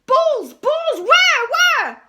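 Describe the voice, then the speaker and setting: A woman voicing a pompous, hysterical male schoolteacher in a children's storybook, shouting and repeating himself frantically. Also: very high voice, sing-song, extremely slow, very noisy, voice pushed up, exaggerated voice. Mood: frantic bellowing. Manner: shouting, stammering, frantic repetition. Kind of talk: children's storybook read aloud.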